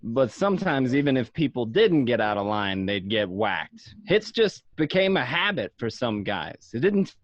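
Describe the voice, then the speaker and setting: A man's voice: mid-range voice, very animated, normal speed, few pauses, no room sound. An actor playing a blunt, matter-of-fact mobster narrating his life in an audio drama, recorded at home. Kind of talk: scripted first-person narration in an audio drama. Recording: dull, dry lo-fi home recording.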